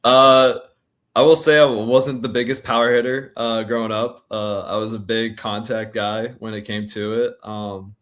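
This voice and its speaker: Man, twenties, lowish pitch, casual interview answers, laid-back, colloquial, athlete. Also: fairly even intonation, normal speed, fairly bright tone. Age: 20s. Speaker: college baseball pitcher being interviewed.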